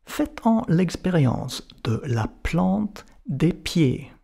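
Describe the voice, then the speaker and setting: Very slow, creaky man guiding relaxation. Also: on the high side, very animated, mellow. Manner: measured, instructional, with short separated phrases. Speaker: yoga and meditation teacher. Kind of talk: guided relaxation narration.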